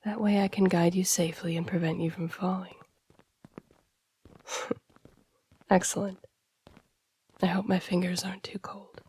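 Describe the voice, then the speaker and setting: A very breathy, husky woman playing a masked assassin sworn to royalty, with gravelly edges and a restrained, even melody. The speech is hushed, formal and devoted, as in an intimate fantasy audio roleplay. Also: on the low side, heavily acted, low-quality recording. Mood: gentle, courteous.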